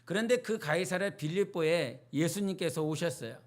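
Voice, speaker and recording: A man's voice: a little high, animated, moderate pace. A male pastor with a North Jeolla accent, preaching a midweek sermon in a chatty, storytelling way and putting questions to the congregation. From North Jeolla, South Korea.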